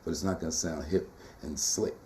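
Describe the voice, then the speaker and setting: Low dark-voiced man, animated and rhythmic. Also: brisk, slight background noise. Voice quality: tenor-baritone voice. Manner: animated, rhythmic, emphatic with repeated phrases. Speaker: poet and teacher being interviewed. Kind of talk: casual conversational interview.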